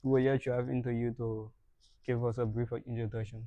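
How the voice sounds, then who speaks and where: A man's voice: mid-range voice, little pitch movement, moderate pace, dark tone, dry recording. A young man hosting a podcast interview in a casual way. He laughs often and repeats words when he starts a sentence. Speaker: podcast host.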